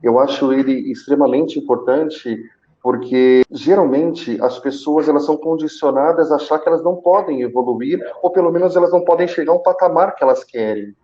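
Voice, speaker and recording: A Brazilian man speaking Portuguese with a Brazilian accent, telling his own story conversationally as an interview guest, haltingly, with repeated words and pauses. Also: on the high side, mellow, highs cut off. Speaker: guest, call center senior manager. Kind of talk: conversational personal account in a live interview.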